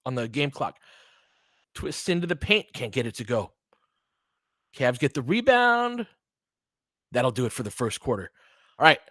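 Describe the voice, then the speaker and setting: A man's voice: mid-range voice, a bit bright, no echo. Man calling basketball in a very dry recording. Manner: conversational, informal, fan-like reactions to plays. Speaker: host and play-by-play commentator. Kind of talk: live basketball play-by-play and casual analysis.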